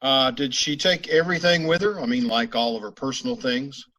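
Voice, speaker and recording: A man playing a calm, courteous police detective questioning a witness in old-time radio style. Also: mid-range voice, a little fast. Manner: level, courteous, businesslike questioning.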